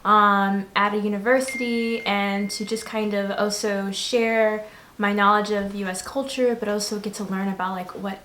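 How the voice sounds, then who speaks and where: Young woman, echoey room, medium pitch, chatty interview guest, slightly hesitant, conversational. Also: little pitch movement, moderate pace, fairly bright tone, a little hiss or hum. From born in DR Congo, grew up in the United States. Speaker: interview guest, English teacher. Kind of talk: casual conversational interview answers.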